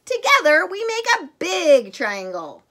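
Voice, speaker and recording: Woman putting on a pushy, high-pitched show-off cartoon trapezoid. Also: huge pitch swings, unhurried, a bit bright, exaggerated voice. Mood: insistent. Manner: insistent, showy. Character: pushy, boastful, eager trapezoid shape wanting stardom.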